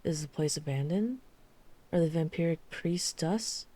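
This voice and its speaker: A woman playing a guarded, weary vampire hunter who is herself a vampire, speaking in a measured, serious way in an ASMR roleplay. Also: deep voice, warm tone, no room sound. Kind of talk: ASMR roleplay audio drama acting.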